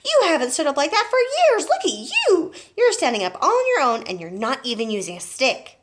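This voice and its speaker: A woman voicing a polite, excitable young boy in a children's storybook, eager and reassuring. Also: a little high, normal speed. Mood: amazed, cheerful.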